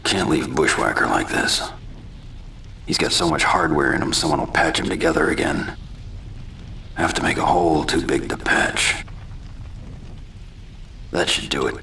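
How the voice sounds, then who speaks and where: A grim, merciless vigilante from a video game. His voice is extremely low and gravelly, with terse deadpan delivery. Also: unhurried, pauses often, a little hiss or hum, full character voice. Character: grim, merciless vigilante killer, tough middle-aged man. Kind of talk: video game cutscene and voice-over acting.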